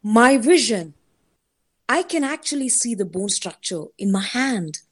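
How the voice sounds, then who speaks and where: Awestruck superpowered heroine for radio play, played by a woman: fairly high voice, slow, full of wonder. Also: lively intonation, breathy edge. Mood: amazed. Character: awestruck woman discovering her newfound superhuman powers. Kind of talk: audio drama acting.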